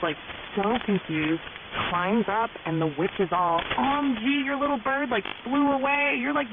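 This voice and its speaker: Young woman, Californian Valley girl accent, gushy slangy storytelling, narrow shortwave sound, heavy hiss. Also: fairly low pitch. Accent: American, Californian Valley girl. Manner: gushy, ditzy, peppered with filler words. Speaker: storyteller on a pirate radio program. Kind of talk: fairy tales retold in exaggerated Valley girl slang. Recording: hissy, narrow-band shortwave radio, very noisy. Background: loud static and hiss.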